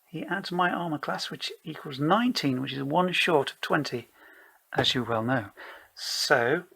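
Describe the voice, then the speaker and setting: A man's voice: a little high, lively intonation, measured pace, vocal fry, pushed and bright, breathy edge. A man narrating his own solo tabletop role-playing game in a casual, rambling way, self-deprecating and playfully theatrical.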